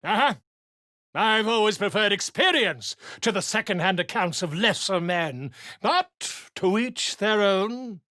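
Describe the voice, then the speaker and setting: An actor voicing a flamboyant, pompous male writer and bard in a video game, speaking in a theatrical, florid, flattering way. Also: sits high, a little slow, dry recording, clean studio sound, heavily acted. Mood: grandiloquent.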